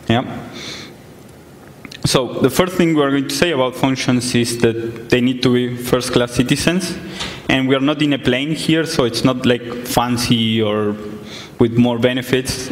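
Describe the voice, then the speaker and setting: A young man from Argentina presents at a tech conference, talking in a relaxed, plain way with frequent ums and uhs. His voice sits at a middle pitch. The sound carries a long echo from the large hall, with a faint hum of the room behind it. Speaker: conference speaker. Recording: echoey hall, some distance from mic.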